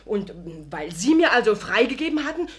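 Cheeky, swooping young maid. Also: female voice, mid-range voice, sing-song, fairly quick, a bit bright. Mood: gossipy, excited. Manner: rambling, saucy, gushing, swooping delivery. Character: cheeky, chatty young serf maid, loyal and fun-loving. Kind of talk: German radio drama acting.

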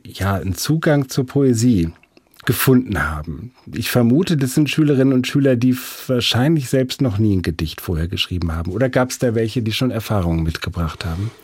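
A man's voice: mid-range voice, darkish tone, husky, dry recording, studio quality. A man moderating a literary interview as the host.

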